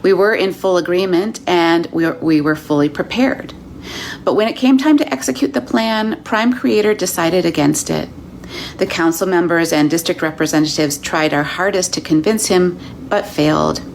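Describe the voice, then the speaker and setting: A woman earnestly reading out a channeled message in a calm, measured way. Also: fairly low pitch, gravelly, a little hiss or hum. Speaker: self-styled channeler.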